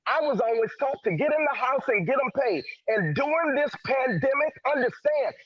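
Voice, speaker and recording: A man's voice: very high-pitched, expressive, normal speed, breathy edge. A man with a stutter giving an emphatic, preacher-like motivational sales talk as a guest speaker. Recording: dull lo-fi online call audio.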